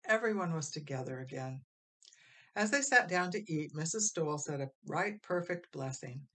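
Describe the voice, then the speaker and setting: A woman narrates a storybook in a rather low voice. Her delivery is scripted and expressive, made for reading a tale aloud to listeners. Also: moderate pace. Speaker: storybook reader.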